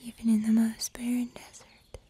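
A young woman playing a gentle mystical healer in an ASMR fantasy roleplay, whispering slowly, breathily and tenderly. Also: a little high, completely flat delivery, slightly rough, no room sound. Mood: tender, comforting. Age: young adult. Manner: slow, soothing, tender. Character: gentle mystical female healer comforting a weary wanderer. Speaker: ASMR performer.

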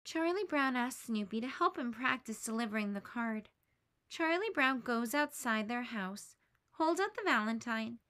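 A woman teacher reading a children's picture book aloud, expressive and lifting her voice for the characters' lines. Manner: expressive, lifts pitch for quoted lines.

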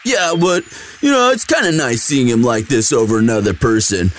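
A man's voice: medium pitch, expressive, moderate pace, fairly bright tone, soft background music, natural voice. A young man playing a sweet, dim, eager athlete boyfriend in a casual, intimate audio roleplay, with a slangy, drawling delivery. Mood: cheerful, chatty.

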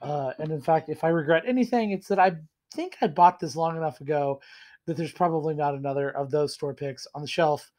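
Hoarse guy with a cold rambling about whiskey at home, webcam sound, chatty and self-deprecating. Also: on the high side, a little fast, a little mellow. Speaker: whiskey reviewer. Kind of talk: casual tasting vlog. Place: small room indoors at home.

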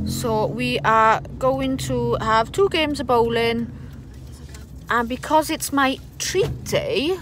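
A woman's voice: on the high side, noisy background. A mother narrating a casual family vlog, chatty and cheering her daughter on at a bowling alley. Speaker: mother filming a family outing.

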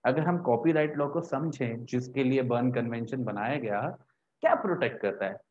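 A man's voice: medium pitch, moderate pace, darkish tone, dull audio, a little hiss or hum. A young man lecturing as a teacher, explaining step by step with repeated points and questions he answers himself. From India. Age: young adult. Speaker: law teacher. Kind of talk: lecture to camera, Hindi mixed with English terms. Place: small indoor room with a whiteboard. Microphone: lapel mic.

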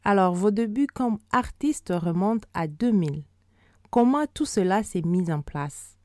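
A woman hosting a radio show, asking formal, measured interview questions. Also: medium pitch, lively intonation, a little mellow, no room sound. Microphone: studio microphone.

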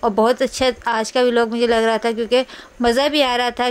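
A woman's voice: fairly high pitch, clear, resonant tone. A woman with a Pakistani accent narrating a casual shopping vlog as a voice-over, chatty and rambling, often repeating herself. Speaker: vlogger.

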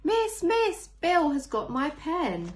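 Woman reading as a high-pitched tattling schoolchild. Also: wildly swooping pitch, slow, background noise, exaggerated voice. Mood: indignant tattling. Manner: calling out, exclaiming. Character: indignant young school children telling tales to their teacher.

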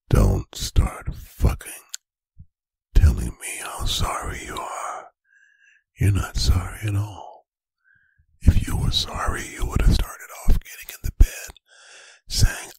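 A man playing a stern, angry, domineering boyfriend in a whispered ASMR roleplay, breathy and gravelly, with slow, deliberate, menacing phrasing. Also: a bass voice, huge pitch swings, no room sound, heavily acted. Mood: menacing scolding. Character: stern, angry, domineering boyfriend scolding his adult partner. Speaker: ASMR voice performer. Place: bedroom, close and dry.

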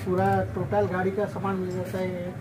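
A man's voice: high voice, monotone, moderate pace, noisy background. A plain-spoken man in his thirties from India, a garage mechanic casually explaining his services and repeating himself.

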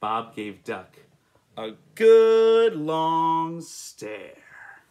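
Young American male storyteller for preschoolers, unhurried and playful, very lively intonation. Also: a little high. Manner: playful, expressive, asking the listener questions. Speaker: children's story reader. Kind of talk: animated picture-book read-aloud for young children. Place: indoors, small room in front of a patterned cloth.

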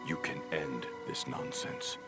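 A man playing a menacing, imperious villain in a fantasy video game, speaking slowly and ominously in a gravelly voice. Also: steady tone. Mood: cold menace. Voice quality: very deep, gravelly. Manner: slow, commanding, ominous. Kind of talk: video game cutscene acting. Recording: loud music behind.

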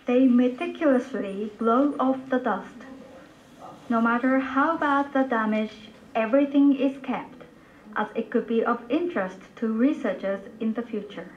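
A woman's voice: on the high side, measured pace. A woman narrating a scripted news report, replayed over speakers in a room. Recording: muffled, noisy playback in a room.

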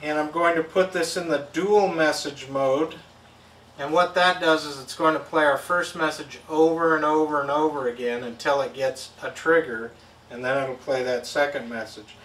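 A middle-aged man with a slightly high voice explains things step by step as a product demonstrator. His delivery is casual and plain, picked up from a distance in an echoey room with some background noise. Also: fairly even intonation, moderate pace, fairly bright tone. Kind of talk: casual instructional electronics demo. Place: indoor tabletop demo setup with backdrop.

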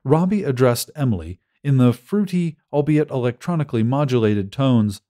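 Male narrator with lively intonation. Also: medium pitch, normal speed. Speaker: audiobook narrator. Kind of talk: scripted story narration.

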